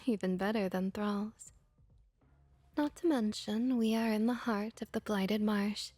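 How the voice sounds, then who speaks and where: A woman playing a friendly, comforting vampire innkeeper in a soft-spoken ASMR roleplay, gentle, reassuring and often whispery. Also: medium pitch, unhurried, dry recording, lightly acted. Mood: soothing, reassuring.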